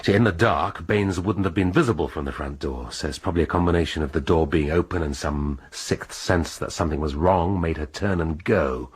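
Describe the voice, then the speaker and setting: A gruff, sardonic police inspector in a radio drama, talking bluntly with a dry edge. His voice sits very low and comes across unusually clean and clear. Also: fairly quick, few pauses. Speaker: police detective.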